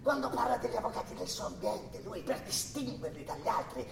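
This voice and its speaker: A man playing a nervous, obsequious long-time defendant in a radio drama, stammering and repeating himself as he swings from furtive murmurs to shouts. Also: huge pitch swings, fairly quick, full character voice. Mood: rambling, brooding. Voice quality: shrill when agitated, dropping to a creaky murmur. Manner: pleading, stammering, repeating words, swinging between whispers and shouts. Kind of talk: theatrical radio drama acting. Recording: echoey stage, distant mic, background noise.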